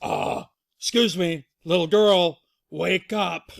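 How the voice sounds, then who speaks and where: A woman voicing a gruff, indignant father bear in a children's story, blustering loudly. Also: fairly low pitch, slow pace. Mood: startled, firm. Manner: loud blustering, growls and roars.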